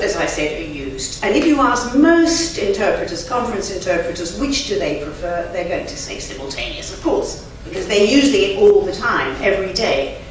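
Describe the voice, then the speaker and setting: Emphatic woman lecturing in an echoey hall. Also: mid-range voice. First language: German. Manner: emphatic, chatty, with lively asides. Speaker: conference interpreter and trainer. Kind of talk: lecture to a live audience. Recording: very echoey hall, from far off, faint noise.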